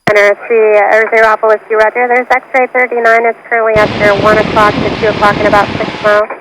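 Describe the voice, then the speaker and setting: A woman's voice: medium pitch, level tone, quick pace. A woman working as an air traffic controller, giving clipped procedural instructions over aviation radio. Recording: noisy aircraft radio transmission. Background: radio static and aircraft cabin noise.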